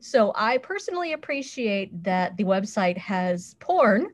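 A woman's voice: mid-range voice, big pitch swings, unhurried. A woman chatting casually as a podcast panelist, with a frank, playfully bawdy way of talking. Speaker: podcast panelist reviewing a website. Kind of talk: casual review chat.

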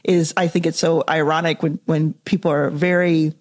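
A woman's voice: low-pitched, flat delivery, normal speed, darkish tone. A woman hosting a podcast interview, thinking aloud in a casual, searching way with lots of "like" and "you know.". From Dallas, Texas, US. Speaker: podcast host.

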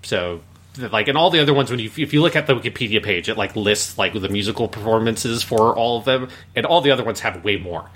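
A man's voice: fairly low pitch, fast talker, fairly bright tone. A man co-hosting a podcast in a casual, rambling way, peppering his talk with "like". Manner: rambling, informal, frequent use of "like". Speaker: podcast co-host.

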